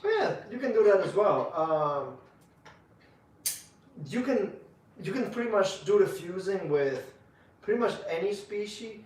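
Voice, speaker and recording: Fast-talking man in an echoey room. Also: high-pitched. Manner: relaxed, joking, quick explanations. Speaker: bonsai demonstrator, co-host. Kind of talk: live-stream demo chat. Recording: echoey room, far from mic.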